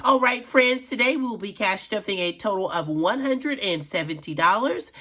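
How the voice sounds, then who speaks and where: A middle-aged woman vlogging about her budget in a chatty, friendly way, a little raspy from allergies. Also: mid-range voice, animated, normal speed, sharp tone. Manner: chatty, addresses viewers as friends. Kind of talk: casual chat while counting cash.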